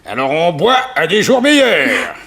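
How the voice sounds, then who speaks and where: Husky, animated, kindly gentleman. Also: fairly high pitch, a little slow, full character voice. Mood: amused, jovial. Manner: familiar, solicitous, full of interjections. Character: kind, jovial, protective gentleman helping a stranger. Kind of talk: 1960s French radio serial acting.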